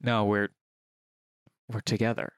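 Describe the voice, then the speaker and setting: A man's voice: on the low side, flat delivery, clear, resonant tone, dry recording, pitched below the performer's own voice. Man playing a hesitant, earnest woman, dry sound. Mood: awkward. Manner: halting, patient. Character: hesitant, earnest woman patiently explaining her relationship.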